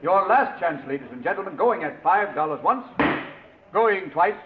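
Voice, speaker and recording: Showy auctioneer, high voice, theatrical coaxing patter, echoey, tinny vintage radio drama. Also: male voice, lively intonation, normal speed. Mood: brisk, coaxing. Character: showy, jovial charity auctioneer working a crowd. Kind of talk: 1940s radio acting. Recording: tinny, echoey, noisy old radio recording.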